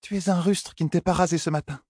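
A man voicing a young noblewoman in an audiobook, earnest and tender, with a soft, coy, careful way of talking. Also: fairly high pitch, steady tone, fast talker, breathy edge, no echo, clean studio sound, voice pushed up. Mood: teasing, firm.

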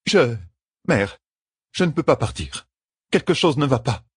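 A man's voice: a little high, normal speed, husky, dry recording, studio quality, pitched above the performer's own voice. A man voicing anxious, shaken women of a mystical sisterhood in an audiobook, with alarmed urgency.

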